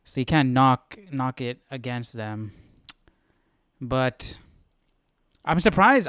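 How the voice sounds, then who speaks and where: A man's voice: mid-range voice, fairly bright tone, clear, resonant tone, no room sound, studio quality. A man hosting a Bible study livestream with casual, conversational commentary, repeating phrases for emphasis.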